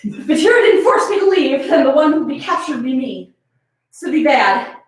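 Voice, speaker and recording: A woman's voice: high-pitched, big pitch swings, fairly quick. A woman voicing a cocky, athletic young heroine, proud and determined, in a dramatic story reading. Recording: very echoey room, far from mic.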